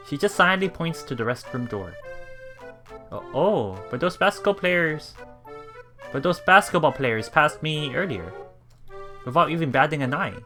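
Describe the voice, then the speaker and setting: A man's voice: on the high side, big pitch swings, unhurried, pauses often, pushed and bright, faint music behind. A man reading a game aloud as a let's play streamer, reacting casually and repeating phrases as he puzzles things out.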